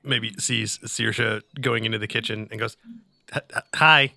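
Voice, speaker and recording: A man's voice: lowish voice, fairly even intonation, a little slow, creaky, bright, forceful tone, no room sound. A man playing a tabletop game, casually improvising his character's actions and lines. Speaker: player in a tabletop role-playing podcast. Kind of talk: casual improvised role-play.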